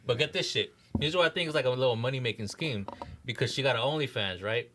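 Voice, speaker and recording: A man's voice: mid-range voice, fast, background noise. A man chatting casually as a podcast host, with slangy, profane speech. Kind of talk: casual chat.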